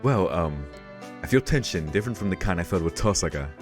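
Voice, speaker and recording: Deep-voiced man reading over game music. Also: expressive, fast talker. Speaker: let's play host reading a visual novel. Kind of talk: read-aloud narration with casual reactions. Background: visual novel soundtrack.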